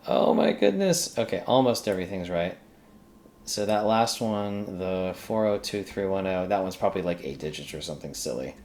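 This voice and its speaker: Low, creaky-voiced man thinking aloud. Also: darkish tone, a little hiss or hum. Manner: mutters to self while working. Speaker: screencast host working through a coding task. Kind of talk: casual think-aloud coding tutorial.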